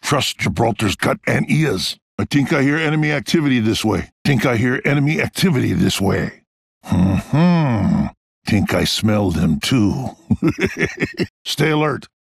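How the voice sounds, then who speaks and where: An actor playing a big, easygoing, confident protector giving good-humored callouts in a video game. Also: male voice, medium pitch, lively intonation, measured pace, vocal fry, husky, no room sound, studio quality, exaggerated voice.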